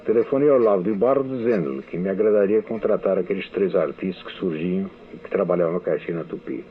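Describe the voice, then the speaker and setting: An older man reads his memoirs in a measured, recollecting way, his voice very deep. The sound is thin and tinny, like an old archival radio broadcast. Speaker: radio writer giving a testimony. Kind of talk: reading a memoir testimony.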